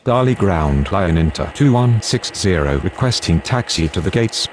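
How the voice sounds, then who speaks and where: A man's voice: low-pitched, expressive, normal speed. A man playing a pilot and air traffic controller, giving formal, clipped radio callouts in standard aviation phraseology. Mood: businesslike.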